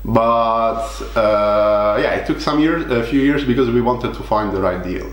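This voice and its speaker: A man's voice: medium pitch, unhurried, roomy sound, slight background noise. A man from Italy, a native Italian speaker, answering interview questions in casual, hesitant English with frequent restarts. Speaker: guest, property investor.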